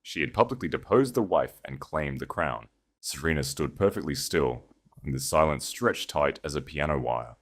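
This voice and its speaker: A man's voice: extremely low pitch, animated, moderate pace. A man narrating scripted fiction as a dramatic audiobook reader.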